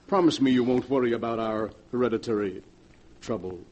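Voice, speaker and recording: A man's voice: mid-range voice, a little fast, darkish tone, lo-fi sound, lightly acted. A man as a grave, anxious father. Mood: troubled. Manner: solemn, paternal, earnest. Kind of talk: 1940s radio horror acting. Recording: noisy 1940s radio recording.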